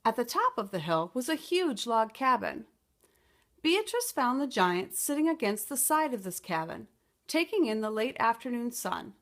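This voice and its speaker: A woman reading a children's picture book aloud as a storytime teacher, expressive and explanatory. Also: fairly high pitch, moderate pace.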